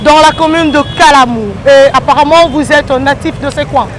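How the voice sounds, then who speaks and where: A woman's voice: sits high, normal speed. A woman with a Congolese French accent hosts a street report, asking earnest rhetorical questions and slipping into Lingala. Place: outdoors at a busy city crossroads. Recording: street noise and crowd chatter.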